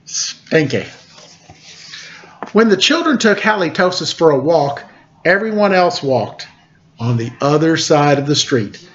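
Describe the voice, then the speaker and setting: Male storyteller, higher-pitched voice, unhurried playful read-aloud, casual asides, muffled lo-fi recording. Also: big pitch swings. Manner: playful, unhurried, pausing to comment on pictures. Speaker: storyteller reading a children's picture book.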